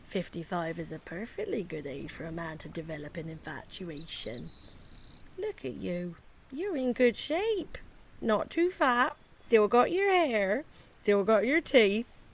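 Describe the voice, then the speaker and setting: A woman's voice: mid-range voice, sing-song, a little slow. A jealous, flirtatious wife in middle age in a radio play. Her voice is mid-range and very mobile, needling as she goes, with faint noise behind it. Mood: teasing, flirtatious. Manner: teasing, pointed. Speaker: actor in an audio drama. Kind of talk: naturalistic stage dialogue.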